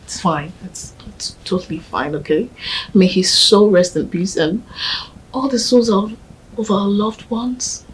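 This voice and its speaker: A woman playing a tearful, grieving widow who speaks softly and pleadingly. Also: mid-range voice, unhurried, lightly acted, low-quality recording. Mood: comforting. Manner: tearful, soft-spoken, pleading. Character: grieving young widow, later comforting a man. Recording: faint background noise.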